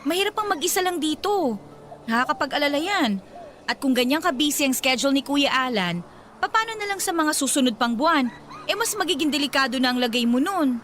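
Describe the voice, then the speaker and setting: An actress playing a concerned, outspoken younger sister in a Filipino radio drama, probing and expressive, with a Filipino accent. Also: female voice, sits high, fairly quick. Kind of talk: Tagalog radio drama acting.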